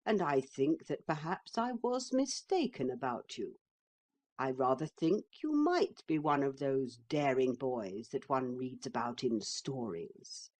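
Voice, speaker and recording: A woman's voice: extremely low pitch, big pitch swings, darkish tone, heavily acted. A woman voicing a sly, boastful male talking horse that mocks and coaxes a boy. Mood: coaxing. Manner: slow, coaxing, mocking.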